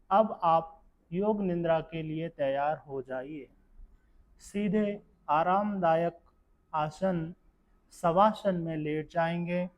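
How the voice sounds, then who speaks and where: A man guiding a meditation session in a calm, measured, instructional manner. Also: fairly high pitch, dull audio. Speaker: yoga nidra meditation guide. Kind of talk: guided meditation narration.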